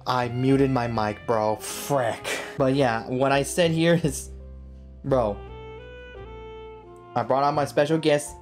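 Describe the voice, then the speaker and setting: A man's voice: medium pitch, steady tone, slow, long pauses. A young man doing casual, slangy, playful let's play commentary as a video game streamer. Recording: game music behind the voice.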